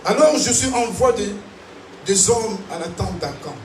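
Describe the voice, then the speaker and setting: A man preaching with a high, bright voice that climbs and falls as he calls out to his congregation. He is heard from some distance in a roomy, echoing hall. A steady noise runs under his voice. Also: measured pace. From Africa. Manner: animated preaching with call-and-response. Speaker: pastor. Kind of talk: sermon. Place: large church hall. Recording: echoey hall, distant mic, noisy.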